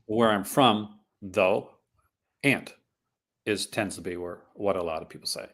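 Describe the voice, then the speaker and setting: A man's voice: lowish voice, a little slow, fairly bright tone. A Canadian man, a podcast host with a mixed Canadian accent partly from Newfoundland, chatting casually and rambling through restarted sentences. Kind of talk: casual chat.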